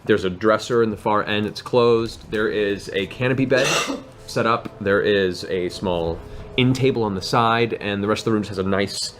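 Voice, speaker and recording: Low-voiced man narrating a tabletop game. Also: normal speed. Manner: descriptive, theatrical storytelling. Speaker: game master. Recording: clearly noisy room ambience.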